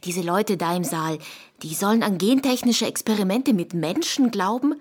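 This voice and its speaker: A husky female voice of middling pitch plays a calculating adviser in an intimate radio drama, acted by a woman. She stays controlled and persuades coolly. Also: normal speed, close to an everyday voice. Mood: cool, reassuring. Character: cool, calculating female confidante of a candidate.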